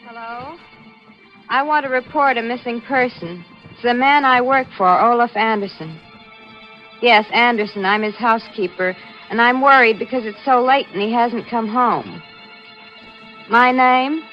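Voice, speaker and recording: A woman's voice: on the high side, fairly even intonation, unhurried, close to an everyday voice. Woman acting a hard radio thriller accomplice. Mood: feigned worry. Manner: terse, pushy. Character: hard, impatient female accomplice in a murder. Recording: muffled vintage radio recording, faint music.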